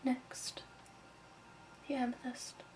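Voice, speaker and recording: A woman whispering softly and breathily in a gentle, measured ASMR roleplay as a shopkeeper. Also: low-quality recording. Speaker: ASMR roleplay host as crystal shop keeper.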